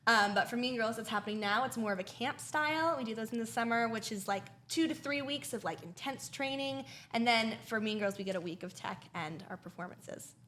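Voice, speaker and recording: A woman's voice: on the high side, a little fast, few pauses, fairly bright tone, a little hiss or hum. A young woman, enthusiastic and chatty, answering questions as an interview guest in casual talk. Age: young adult. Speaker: guest, youth theater director.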